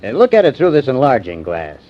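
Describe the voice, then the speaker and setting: A calm, measured small-town lawyer in an old radio drama. His voice moves widely in pitch, and he sounds a little off-mic in a muffled vintage recording. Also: a little hiss or hum. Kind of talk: 1940s radio acting. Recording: dull old radio recording, slightly distant.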